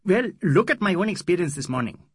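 Guy doing a lively young doctor, pitch bouncing all over, loud and eager, dry close sound. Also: fairly quick, husky, no room sound. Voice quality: loud, high and animated. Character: young excitable male doctor, playful.

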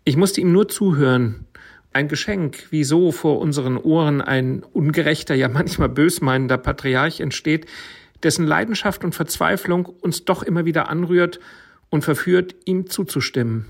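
A man's voice: fairly high pitch, moderate pace, vocal fry. A man speaking as a radio play director, giving a spoken tribute.

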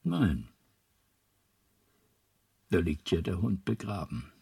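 An amiable elderly man, a dreamy craftsman of worlds in a radio play. His low voice is very husky and breathy, recorded dry, and it rises and dips as he muses wistfully. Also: huge pitch swings, slow pace, warm tone, no room sound, full character voice. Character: gentle old-fashioned elderly male planet designer, wistful and amiable. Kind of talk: radio drama acting.

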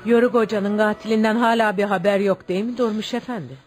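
A woman's voice: mid-range voice, steady tone, fast talker, darkish tone, soft background music, natural voice. An actress playing a practical, concerned older village wife with a motherly, persuasive way of speaking in a radio drama. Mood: questioning. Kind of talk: radio theatre acting.

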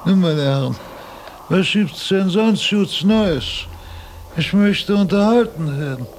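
A man's voice: high voice, lively intonation, slow pace, slight background noise. A man playing a frail, confused but imperious old philosopher in a radio drama, pedantic as if dictating. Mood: querulous, imperious. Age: older.

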